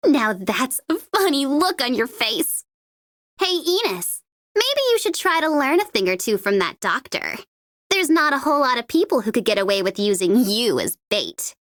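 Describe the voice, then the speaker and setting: High-pitched, gleefully mocking mercenary. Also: female voice, very animated, normal speed, fairly bright tone, no room sound, clean studio sound. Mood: amused teasing. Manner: mocking, playful, sardonic, theatrical. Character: gleeful, taunting young female mercenary bomber. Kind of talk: video game character voice lines.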